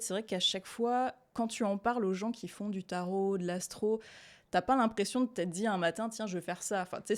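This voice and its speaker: A young adult woman chatting casually as an interview guest, relaxed and talkative, often trailing off with fillers and laughing easily. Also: fairly low pitch. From Italian descent, raised in France. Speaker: guest, tarot reader and illustrator.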